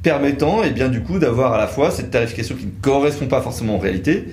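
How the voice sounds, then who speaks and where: Lively-pitched man in an echoey room. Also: fast, a little hiss or hum. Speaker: legal expert co-presenter. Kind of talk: conversational legal explainer.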